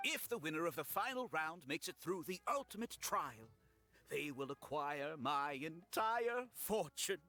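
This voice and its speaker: Flashy rich tournament host in a game, bright forceful high voice, big showman delivery, faint music. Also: sing-song, unhurried, heavily acted. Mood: grand, solemn. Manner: grandiose, theatrical announcing. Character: flamboyant wealthy male tournament host, showman. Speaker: tournament announcer. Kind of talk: video game character acting.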